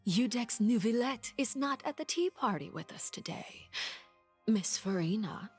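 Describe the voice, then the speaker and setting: A woman's voice: a little high, lively intonation, a little slow, breathy edge, soft background music. An actress playing a coolly polite noblewoman interrogator in a game, formal and silky with veiled mockery. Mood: mocking. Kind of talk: anime-style game voice acting.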